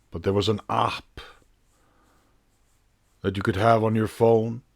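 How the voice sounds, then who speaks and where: A man giving unscripted commentary in a halting, emphatic way, often posing rhetorical questions. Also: medium pitch, a little mellow, no room sound, clean studio sound. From Iceland. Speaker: video commentator.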